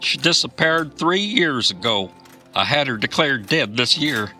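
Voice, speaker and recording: Folksy, world-weary trucker. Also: male voice, medium pitch, lively intonation, a little slow, sharp tone, soft background music. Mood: uneasy recounting. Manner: rustic, profane, drawling storyteller. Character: folksy Kentucky trucker, world-weary man haunted by his past. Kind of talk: audio drama dialogue.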